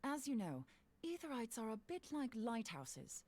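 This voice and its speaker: High, lively confident scholar. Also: female voice, unhurried. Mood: keen, explanatory. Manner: breezy, self-assured explaining. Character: bold, confident female scholar in fantasy game. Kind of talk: video game voice acting.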